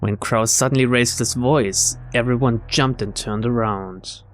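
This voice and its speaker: A man's voice: medium pitch, steady tone, a little slow. A man doing a game playthrough, reading visual novel narration aloud and adding his own asides. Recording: game music behind the voice.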